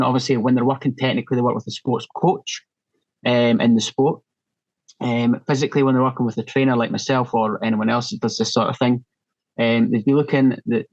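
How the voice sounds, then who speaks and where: A man's voice: medium pitch, muffled recording. A man from northeast Scotland with a Scottish accent, explaining things conversationally as a podcast guest and fitness trainer.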